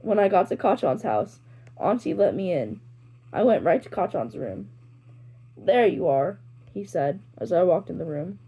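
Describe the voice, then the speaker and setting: A woman's voice: lowish voice, very animated, normal speed, husky, lo-fi sound. A woman with an informal manner, reading her own fan fiction and chatting to listeners as an amateur narrator. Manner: informal, self-deprecating, rambling. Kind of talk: amateur story narration and casual chat to listeners.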